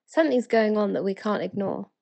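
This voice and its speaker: A British woman playing an eager, wonder-struck townswoman in a dramatic verse reading, earnest and excited. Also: medium pitch, a little fast, vocal fry. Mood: excited, insistent.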